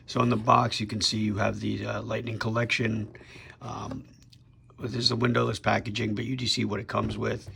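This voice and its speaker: A young man with a sick, raspy voice casually reviewing a toy figure on his channel, talking in a laid-back way with lots of fillers and restarts. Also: lowish voice, level tone, moderate pace, fairly bright tone, slight background noise.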